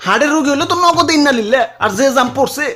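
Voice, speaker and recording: A man's voice: extremely high pitch, lively intonation, rapid-fire, sharp tone, full character voice. A man voicing a sarcastic young village lad in a comic cartoon, complaining in exaggerated Bangladeshi dialect over music. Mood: sarcastic grumbling. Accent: Bangladeshi, Dhaka-area dialect.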